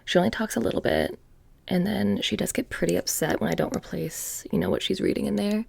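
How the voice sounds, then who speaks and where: A woman playing a petty, blasé immortal witch shopkeeper in a soft-spoken ASMR roleplay, rambling casually and slipping into whispers. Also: medium pitch, fairly quick, no room sound. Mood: blasé, mildly annoyed. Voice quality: soft, breathy, creaky. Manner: casual rambling, many "like" fillers, drifting into whispers. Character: blasé, petty immortal witch running a haunted trinket shop.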